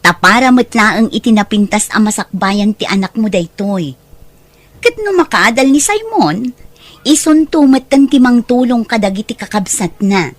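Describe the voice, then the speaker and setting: A generous, take-charge aunt, with a fairly high voice and an Ilocano accent. She is insistent and persuasive, with the expressive delivery of a radio drama. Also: normal speed, dry recording. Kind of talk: Ilocano audio drama acting.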